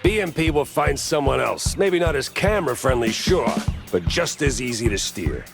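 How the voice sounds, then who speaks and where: An actor playing a tough, wisecracking mouse private detective in a noir cartoon video game, with a sardonic, hard-boiled manner. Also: male voice, medium pitch, animated, normal speed, creaky, music in the background. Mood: defiant, taunting.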